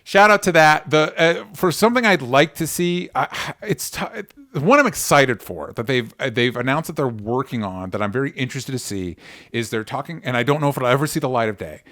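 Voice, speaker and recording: A man's voice: mid-range voice, animated, fairly quick, a bit bright, dry recording. Casual male podcast host, thirties, mid-range voice, opinionated and sweary, dry studio sound. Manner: enthusiastic, opinionated, swears freely. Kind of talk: casual pop culture discussion.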